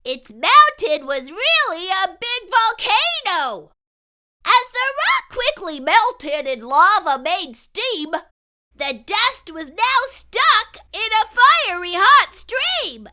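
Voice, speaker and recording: A woman voicing an excitable young boy who spins a tall tale in dramatic, sing-song rhyme for a storybook read-aloud. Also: extremely high pitch, slow, voice pushed up, full character voice. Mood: excited, insistent.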